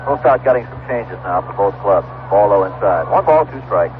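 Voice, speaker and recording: A man's voice: on the low side, animated, normal speed. A man calling baseball play-by-play live on a noisy, tinny 1970s radio broadcast. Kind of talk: live radio sports commentary. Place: ballpark broadcast booth.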